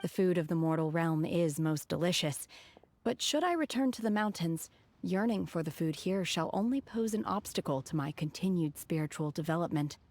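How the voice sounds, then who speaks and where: An actress playing an aloof, blunt young woman from the mountains in a video game, speaking calmly and formally. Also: fairly low pitch, normal speed. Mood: reflective. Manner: calm, formal, blunt, sighing. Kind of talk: anime-style video game voice acting.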